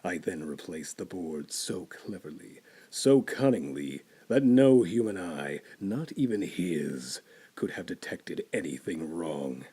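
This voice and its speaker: A male storyteller reads a classic horror tale in a slow, deliberate way. His voice sits low and dark, with a husky grain. His delivery is theatrical, sinking to hushed whispers and climbing into tense, intense bursts. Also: lively intonation.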